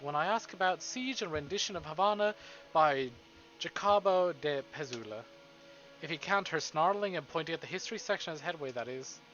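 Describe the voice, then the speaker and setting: A man reading a visual novel aloud on a live stream, with casual, excitable, foul-mouthed reactions. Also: high-pitched, lo-fi sound, a little hiss or hum.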